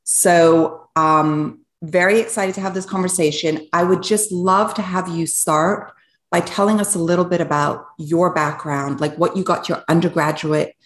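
A middle-aged woman with a notably low voice asks long, eager questions that wander as she thinks aloud. She sounds like she is in an echoey room. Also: steady tone, moderate pace. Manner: enthusiastic, rambling questions. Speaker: podcast co-host. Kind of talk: podcast interview.

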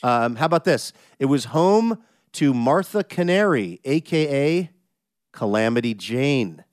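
A man hosting a radio show in a casual, chatty way, his voice a bit hoarse from a cold. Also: medium pitch, expressive, a little slow, no echo, clean studio sound. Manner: upbeat, chatty, jokey.

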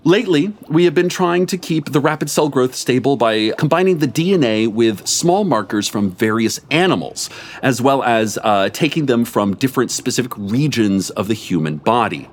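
A man reading a comic story aloud before a live audience, with expressive, animated storytelling and a deadpan edge. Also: medium pitch, moderate pace. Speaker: story reader.

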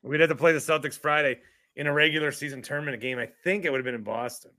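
A man chats through a sports podcast stream, his voice bright and sitting a bit high for a man. He jokes freely and pokes fun at himself, keeping the talk loose and casual. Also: quick pace. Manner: joking, self-deprecating, chatty. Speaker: podcast host.

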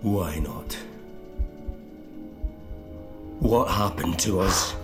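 A man's voice: low-pitched, slow pace, music in the background. An actor playing a desperate man in an audio drama, pleading and insistent as he confronts his brother. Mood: shaken, probing.

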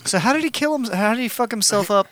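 A man's voice: high-pitched, expressive, quick pace, a bit bright. A man co-hosting a casual comedy podcast, reading out odd news stories and joking crudely.

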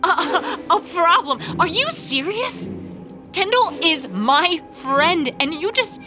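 A woman's voice: very high-pitched, sing-song, takes it slowly, over music, exaggerated voice. An actress playing an anguished, guilt-ridden female vampire in an audio drama. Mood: shocked. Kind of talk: audio fiction drama acting.

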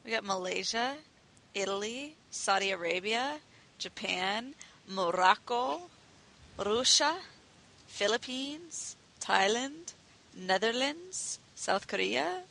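A woman's voice: on the high side, lively intonation, slow pace, gravelly, bright, forceful tone, rough recording. A woman co-hosting a podcast in casual chat, laughing often and trailing off mid-sentence. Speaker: podcast co-host and editor.

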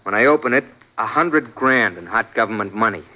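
A man's voice: fairly low pitch, lively intonation, a little fast, sharp tone. A man acting an edgy, cornered young hoodlum with curt street slang in a 1950s radio crime drama. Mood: anxious, confessing. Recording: dull vintage radio broadcast recording.

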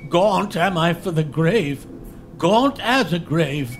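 A man playing a dying old nobleman with a high, strained voice, speaking slowly and bitterly, laughing through his reproaches. He delivers verse in a classical stage style, with faint background noise. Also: expressive. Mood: wry. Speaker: actor.

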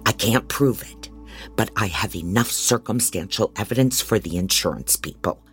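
A woman's voice: very deep voice, expressive, moderate pace, soft background music. An actress playing a blunt, disorganized female private investigator, narrating an audio drama in a wry way. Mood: wry, grim.

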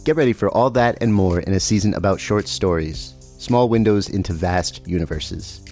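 A deep male voice reads a scripted podcast promo. Light music plays behind the narration. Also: moderate pace, a little mellow.

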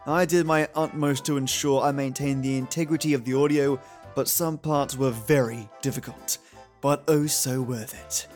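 A man's voice: medium pitch, fairly even intonation, moderate pace. A man hosting a podcast, giving a scripted introduction in a playful, theatrical manner. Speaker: podcast host. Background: music.

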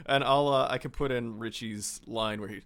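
A man hosting a podcast's behind-the-scenes chat in a relaxed way, with lots of fillers. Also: mid-range voice, normal speed. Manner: relaxed, filler-heavy, laughs often. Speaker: podcast producer and host.

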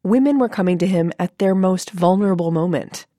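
A woman's voice: lowish voice, a little fast, warm tone, no echo. An American woman hosting a podcast, narrating from a script and interviewing, recorded on a close studio microphone. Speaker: podcast host.

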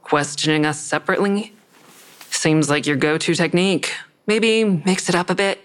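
A woman's voice: lowish voice, moderate pace, natural voice. An actress playing a guarded, defiant woman who narrates her own crime story in a wry, sarcastic way. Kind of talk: audio drama acting with first-person narration.

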